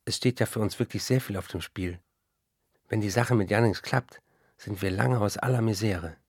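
An actor in a radio play voicing an embattled, wry German novelist of the 1930s, reading out letters and diary entries. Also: male voice, lowish voice, normal speed, a little mellow, breathy edge, no room sound, studio quality. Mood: hopeful, tense.

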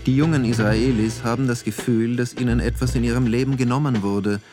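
A man performing as the sober narrator of a documentary radio play, reading in a measured, factual way over music. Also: mid-range voice, fairly even intonation, close to an everyday voice. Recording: studio voice over music bed. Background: clear music.

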